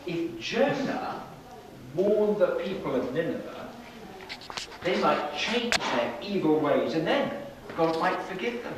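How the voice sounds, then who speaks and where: Animated storytelling man in an echoey room. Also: a little high. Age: middle-aged. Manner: slow, animated, with pauses and questions to the children. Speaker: storyteller for a children's group. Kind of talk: interactive Bible storytelling to young children. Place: children's classroom with seated young audience. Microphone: distant camera at back of room. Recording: echoey, noisy room recorded from far off.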